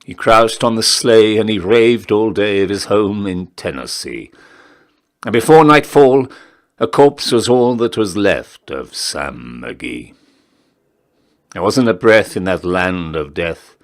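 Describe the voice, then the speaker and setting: A man recites a narrative poem with a theatrical storyteller's delivery. His voice sits fairly low. He moves very slowly, measuring each phrase and leaving deliberate pauses. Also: animated. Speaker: poetry reader, town crier. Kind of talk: dramatic recitation of a narrative ballad.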